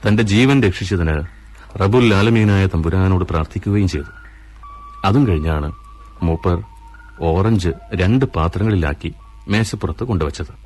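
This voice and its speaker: A man giving measured, scripted storytelling narration for a radio play. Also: low-pitched, a little mellow. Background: background music.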